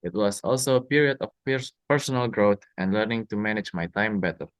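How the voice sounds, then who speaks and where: Man, young adult, Indonesian accent, slightly deep, very dry recording, student presenting prepared answers. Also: steady tone, brisk, clear, resonant tone, no room sound. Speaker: university student. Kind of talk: prepared question-and-answer speaking exercise.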